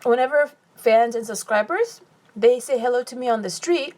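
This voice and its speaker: A young woman from California chats through a live stream Q&A, reading viewer comments aloud and answering with quick laughs. Her voice sits a little high, and she talks casually into a phone mic between bites. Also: darkish tone. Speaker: vlogger answering live chat questions.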